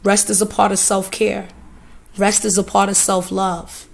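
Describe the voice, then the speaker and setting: A hoarse woman giving a live oracle card reading, talking casually with swearing and repeated phrases. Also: mid-range voice, level tone, unhurried. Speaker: spiritual reader.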